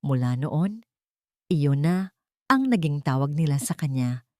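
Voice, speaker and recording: A woman reading a scripted dramatic story as narrator, in short, deliberate, measured phrases. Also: low voice, expressive, no echo, studio quality.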